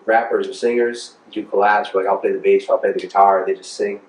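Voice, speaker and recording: Fast-talking American man, roomy echo. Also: deep voice, fairly even intonation, far from the mic. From Rhode Island, United States. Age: 30s. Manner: informal, slangy, rambling. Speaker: music producer being interviewed. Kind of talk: casual interview chat. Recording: roomy, echoing, off the mic.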